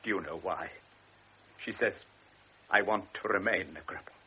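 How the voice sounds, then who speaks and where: An actor playing an overwrought older baron in a radio drama, urgent and pleading. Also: male voice, deep voice, moderate pace, husky. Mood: distraught. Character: distraught older nobleman, the baron. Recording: muffled radio recording, voice off-mic.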